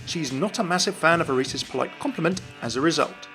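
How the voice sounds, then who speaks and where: A man's voice: on the high side, fast talker, sharp tone. A man giving a scripted commentary on video game lore. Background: faint music.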